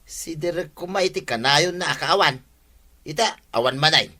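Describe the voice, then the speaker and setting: A grumbling, exasperated village man in radio comedy. His voice is fairly high, tense and bright as he complains. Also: normal speed. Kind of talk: comedy radio drama acting.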